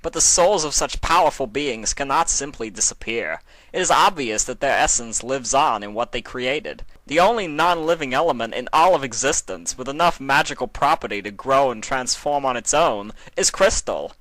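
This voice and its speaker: Power-mad pony king male reader, earnest ranting, halting odd cadence lifting at sentence ends, dry recording. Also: mid-range voice, expressive, fairly bright tone, dry recording, pitched above the performer's own voice, natural voice, poor audio. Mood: earnest, lecturing. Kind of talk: fan fiction reading, cartoon villain.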